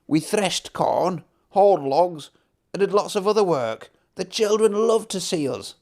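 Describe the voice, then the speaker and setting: A man voicing a gentle, wistful old traction engine in a children's storybook, speaking plaintively and earnestly. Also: sits high, expressive, unhurried, voice pushed up. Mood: earnest, proud. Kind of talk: children's storybook character reading.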